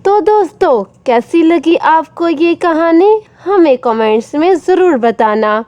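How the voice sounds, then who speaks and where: High, animated woman telling a children's story. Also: measured pace, no echo. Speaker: narrator. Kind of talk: scripted children's story narration.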